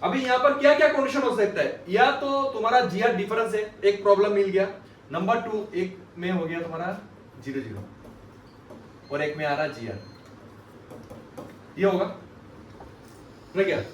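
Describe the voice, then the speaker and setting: A man's voice: high voice, animated, normal speed, long pauses. A man teaching a repair class, explaining emphatically, repeating points and often checking with questions. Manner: repetitive, emphatic teaching with frequent rhetorical questions. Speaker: phone repair instructor. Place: indoor classroom. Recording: echoey room, distant mic, faint background noise.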